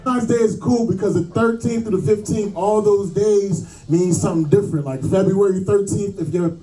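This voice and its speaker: A man doing a stand-up set in a club, relaxed and chatty with the crowd. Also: sits high, brisk, far from the mic, poor audio. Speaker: stand-up comedian. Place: comedy club stage.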